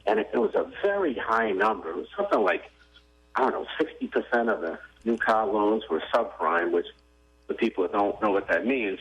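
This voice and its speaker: Guy calling a radio show, kinda low voice, chatty explaining, dull phone audio. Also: a bit bright. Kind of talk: casual explanatory call-in talk. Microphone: telephone.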